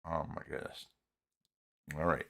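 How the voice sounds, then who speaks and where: A man hosting a casual, unscripted live software tutorial, thinking aloud and muttering to himself as he works through each step. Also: very deep voice, steady tone, measured pace, vocal fry. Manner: thinks aloud, muttering and humming filler syllables between steps. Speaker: live stream host and web tutorial presenter.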